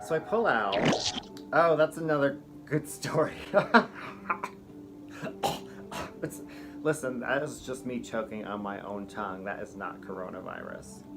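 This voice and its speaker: A man's voice: medium pitch, measured pace, creaky, long pauses, over music. A middle-aged man, a drag performer, telling a rambling, profane comic story in a campy, chatty way from a small room at home. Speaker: drag performer and show host.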